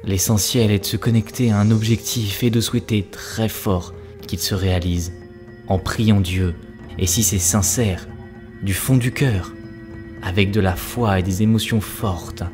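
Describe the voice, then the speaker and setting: A man's voice: lowish voice, fairly even intonation, a little slow, music in the background, close to an everyday voice. A man playing an earnest, devoted young spiritual seeker in love, in solemn cartoon dub dialogue. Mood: earnest, preaching.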